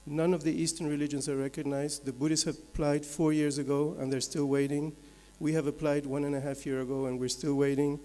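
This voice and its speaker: A man from Belgium, giving a measured, explanatory slide presentation as a conference speaker, point by point. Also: fairly high pitch, level tone, warm tone. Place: large conference hall. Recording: echoey hall with long reverb.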